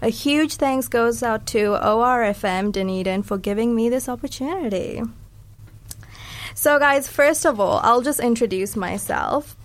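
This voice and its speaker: Upbeat young woman hosting, higher-pitched voice. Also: unhurried. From Sri Lanka, raised in New Zealand from age eight. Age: young adult. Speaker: podcast host. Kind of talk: casual interview podcast.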